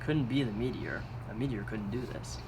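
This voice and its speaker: A man's voice: fairly low pitch, normal speed, a little mellow, slight background noise, lightly acted. A man playing a level-headed suburban neighbor who reasons things out, in an amateur read-aloud of a television script. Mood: reasoning, decisive.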